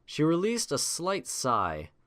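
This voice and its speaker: A man reading scripted fiction as a narrator, in a measured, literary storytelling manner. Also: fairly high pitch, huge pitch swings, a bit bright.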